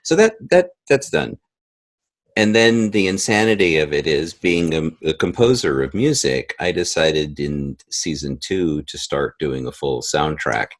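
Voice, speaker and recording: Low-voiced man explaining his creative process. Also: animated, a little slow, dry recording. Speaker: composer and sound editor of an audio drama. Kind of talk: interview, conversational explaining.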